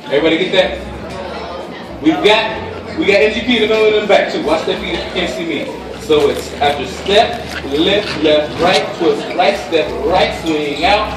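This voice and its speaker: A middle-aged man teaching a dance class, counting and calling out steps to the group and joking with them. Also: moderate pace. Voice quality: low voice. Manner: counts steps aloud in a steady call, jokes with the class. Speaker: dance instructor. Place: large indoor hall with a crowd of dancers. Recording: echoey hall recorded from far off. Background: crowd noise and room ambience.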